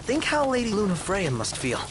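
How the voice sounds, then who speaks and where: A man's voice: high voice, wildly swooping pitch, a little fast, noisy background. A man playing a chatty, playful young sidekick in a video game, casual and inquisitive. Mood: curious. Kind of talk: dramatic video game voice acting. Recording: game sound effects behind voice.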